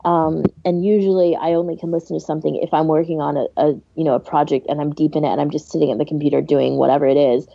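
A woman's voice: sits low, steady tone, fast, gravelly, hardly any pauses, dark tone, husky, no echo. A woman co-hosting a podcast in a casual, informal chat, giving her opinions freely on a dull recording. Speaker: podcast host.

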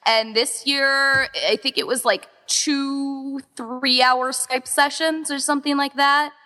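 A woman's voice: high voice, fairly even intonation, takes it slowly, pushed and bright. A woman chatting casually as an interview guest, rambling along and quoting imagined remarks as she explains how she organizes things. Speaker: interviewed co-founder and organizer of a fan audio exchange. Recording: long echoing reverb.

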